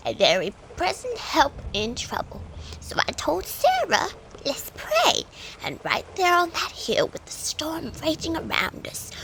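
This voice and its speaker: A kindly, devout old village lady acted by a woman for a children's audio story. Her high voice swoops expressively and creaks at the edges, dropping into gentle hushed phrases as she reminisces. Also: a little hiss or hum, full character voice, low-quality recording. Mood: earnest storytelling. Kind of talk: children's audio drama acting.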